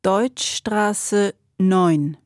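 Woman, very slow, mellow tone, dry close sound, deliberate answers in a learner dialogue. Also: mid-range voice, no room sound. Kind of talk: slow scripted language-course dialogue.

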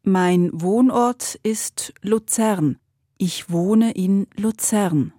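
A woman answering questions slowly and carefully in a scripted language-course dialogue. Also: medium pitch, mellow, no echo, clean studio sound.

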